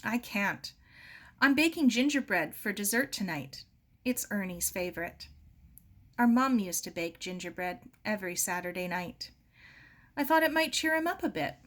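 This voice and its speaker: A woman's voice: medium pitch, lively intonation, normal speed, a bit bright, close to an everyday voice. A woman voicing a wistful, reassuring young girl in a storybook, earnest and plain-spoken. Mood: matter-of-fact. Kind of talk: storybook character dialogue.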